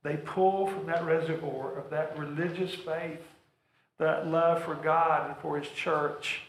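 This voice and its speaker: A man's voice: on the high side, steady tone, measured pace, slight background noise. A man presenting a church workshop to an audience, talking earnestly and stumbling over repeated words. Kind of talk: practical talk to a church audience. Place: church hall. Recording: roomy echoing hall.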